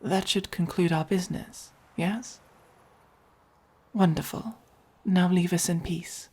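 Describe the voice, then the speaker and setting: A woman with an English accent voicing a haughty, possessive but caring vampire in a soft-spoken ASMR roleplay, with a breathy, commanding delivery. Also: on the low side, fairly even intonation, slight background noise. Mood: curt, commanding. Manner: slow, deliberate, commanding.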